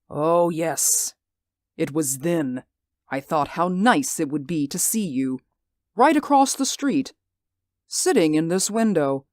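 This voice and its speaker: An actor playing a bitter, vengeful middle-aged stage actor in an old radio drama, theatrical and gloating, dropping into quiet menace. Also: male voice, fairly high pitch, no room sound, clean studio sound. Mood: menacing. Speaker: villain. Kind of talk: 1940s radio acting.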